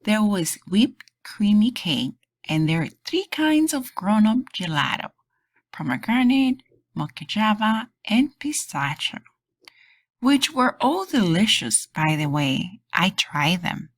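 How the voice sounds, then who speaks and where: A woman host reading a storybook aloud for kids in an animated, deliberate, emphatic way. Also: medium pitch. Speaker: children's read-aloud channel host and teacher.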